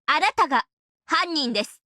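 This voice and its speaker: A woman's voice: high voice, lively intonation, quick pace, pushed and bright, no room sound, clean studio sound. An actress playing an imperious young female detective in a game, formal, haughty and theatrical. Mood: accusing, haughty.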